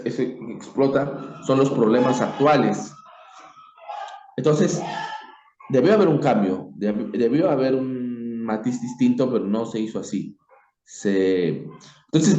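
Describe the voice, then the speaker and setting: A man with a Peruvian Spanish accent giving his opinion informally, reflective and halting, leaning emphatically on key words. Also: mid-range voice, warm tone, slight background noise. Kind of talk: informal spoken opinion on history. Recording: dull, echoey room recording.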